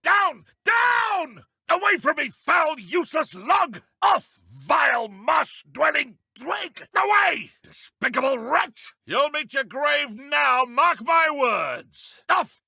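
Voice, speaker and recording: An actor voicing a haughty, theatrical dark wizard villain in a video game, with pompous, archaic, taunting diction. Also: male voice, very high-pitched, big pitch swings, slow, gravelly, hardly any pauses, dry recording, clean studio sound, heavily acted. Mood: outraged. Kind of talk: video game combat voice clips.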